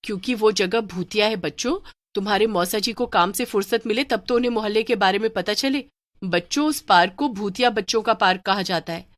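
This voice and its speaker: A woman voicing a protective, cautioning aunt in an animated horror story. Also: medium pitch, fairly quick, no room sound, close to an everyday voice. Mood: warning. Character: protective aunt warning children about ghosts.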